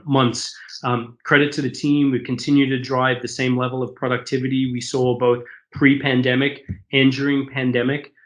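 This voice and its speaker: A man answering interview questions as a corporate executive, conversational and explanatory, with measured business phrasing. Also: mid-range voice, low-quality recording. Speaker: corporate shared services executive, interview guest.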